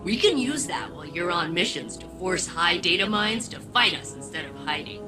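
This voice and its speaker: A woman's voice: lowish voice, animated, moderate pace, over music, natural voice. An actress voicing an earnest, resolute and reflective woman leader in dramatic game dialogue. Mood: focused.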